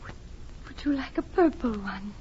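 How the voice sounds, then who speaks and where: A woman acting a frail, childlike madwoman in 1940s radio drama, gentle, vague and wandering in her delivery. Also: a little high, lively intonation, natural voice. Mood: dreamy, distant. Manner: slow, vague, wandering, disconnected. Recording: dull, noisy vintage radio recording.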